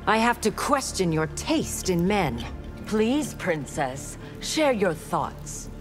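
A cold, ruthless young ninja warrior in a fighting game. Her delivery is slow, clipped and haughty, with a faint music bed underneath. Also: medium pitch, sing-song. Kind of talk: video game dialogue.

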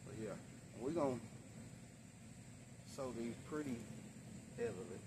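A man's voice: lively intonation, measured pace. Man chatting outdoors over background noise. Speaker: home gardener vlogger. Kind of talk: casual product demonstration. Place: outdoors in a backyard garden. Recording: noisy outdoor ambience, traffic-like rumble.

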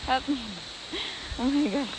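A woman's voice: high voice, measured pace. A woman chats casually and exclaims as she vlogs, mixing English and Tagalog, on a phone outdoors. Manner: chatty, exclamatory, switches between English and Tagalog. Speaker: vlogger and mother. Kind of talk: casual vlog chat to camera and family. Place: outdoor beach. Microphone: handheld phone at arm's length. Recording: noisy outdoor phone recording.

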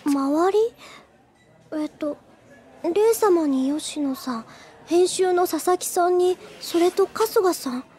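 High-voiced naive schoolgirl. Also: slow, mellow. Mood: puzzled. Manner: puzzled, artless. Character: naive, slow-to-catch-on schoolgirl. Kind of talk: anime audio drama acting.

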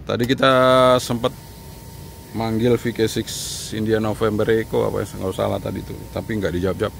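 Amateur radio operator: man, middle-aged, Indonesian accent, clipped call-sign delivery, recorded outdoors with clear background noise. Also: lowish voice, level tone, brisk. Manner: repeats call signs in clipped phonetic spelling. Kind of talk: radio contact calls and casual commentary. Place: open rooftop outdoors. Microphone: camera a short distance away.

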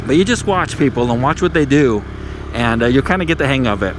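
Easygoing middle-aged man, casual tips from the saddle, clear engine and street noise around him. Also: mid-range voice, animated, fairly quick. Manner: easygoing, chatty, lots of "right?" tags. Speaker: motovlogger. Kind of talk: casual how-to narration while riding. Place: on a motorcycle in city traffic outdoors. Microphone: helmet or handlebar action camera.

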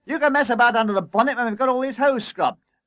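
A man voicing a bossy, frantic leading fireman in a story, shouting urgent orders. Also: high-pitched, big pitch swings, fast talker, dry recording, pitched above the performer's own voice.

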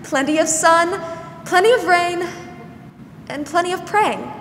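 A woman's voice: very high voice, a little slow, a bit bright. A woman acting a kindly, patient older country spinster in a 1940s-style radio drama. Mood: gentle. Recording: roomy stage hall, faint noise.

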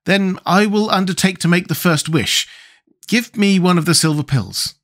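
A man playing a plucky, blunt, excitable young boy in a storybook. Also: high-pitched, moderate pace, pitched above the performer's own voice. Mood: resolute. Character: plucky, impatient young boy, easily sulky.

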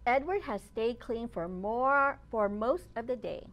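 Woman in her thirties, high-pitched, slow, expressive storytime delivery, children's librarian, dramatic emphasis. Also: slight background noise. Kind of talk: animated read-aloud of a picture book for young children. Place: open library children's area, indoors.

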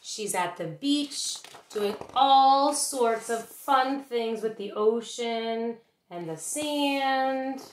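A middle-aged woman reading a picture book aloud to young children in an expressive teacherly way, pausing to address her listeners. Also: a little high, a little hiss or hum. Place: small bedroom, indoors. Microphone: webcam or laptop at arm's length. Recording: roomy home webcam recording.

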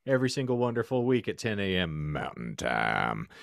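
A man's voice: low voice, big pitch swings, normal speed, gravelly, no echo, clean studio sound. A man hosting a casual comedic podcast, bantering in a jokingly grandiose, florid way. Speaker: podcast host. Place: home room, online group call. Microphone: close podcast microphone.

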